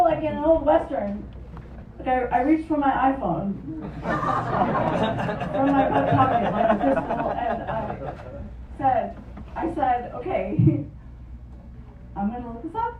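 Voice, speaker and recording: A woman whose first language is Japanese, doing a stand-up comedy set on a club stage with wry, deadpan storytelling, caught on a phone voice memo from the audience. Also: high voice, noisy background. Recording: lo-fi phone recording from far off in an echoey club. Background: audience laughter.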